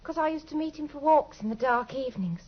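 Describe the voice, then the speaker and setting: High-voiced, naive young woman. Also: expressive, quick pace. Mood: wistful, confiding. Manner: breathless, earnest, deferential, pours out her story. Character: naive, trusting, lovelorn young woman client, anxious and devoted. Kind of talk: old British radio drama acting. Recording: dull, lo-fi old radio recording.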